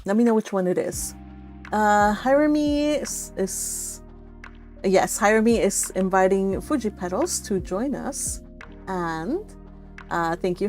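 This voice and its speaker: A woman's voice: medium pitch, darkish tone. A woman hosting a casual livestream, reading and answering chat in an effusive, affectionate way with her viewers. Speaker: live stream host reading and answering chat. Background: music.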